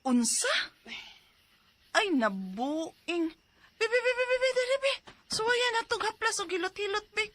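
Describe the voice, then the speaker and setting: A woman's voice: very high voice, very animated, measured pace, long pauses, full character voice. A woman acting an anxious, fussing middle-aged mother with a Cebuano accent in a radio drama, exclaiming as she goes. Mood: alarmed.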